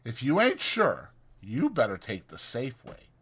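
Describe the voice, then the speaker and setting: A man's voice: medium pitch, wildly swooping pitch, measured pace, fairly bright tone, husky, pitched above the performer's own voice, heavily acted. A man voicing an anxious, kindly old ranch hand with folksy rural speech in a dramatized audiobook. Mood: reassuring. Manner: folksy rural American dialect.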